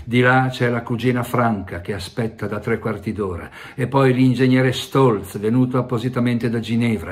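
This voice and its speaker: A man's voice: mid-range voice, a little mellow, lightly acted. A man reading a story aloud, voicing an office errand boy who announces waiting visitors.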